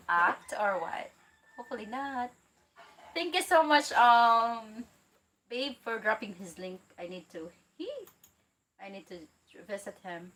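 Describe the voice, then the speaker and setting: A woman from the Philippines hosting a casual livestream, chatty and excitable, reading out viewer comments and laughing easily. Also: a little high. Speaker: livestream host.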